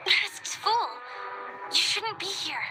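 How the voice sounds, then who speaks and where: A guarded young woman in a video game, with a very high voice. She is skeptical and measured, serious in her questioning, with music playing behind her. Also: animated, heavily acted. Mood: wary, curt.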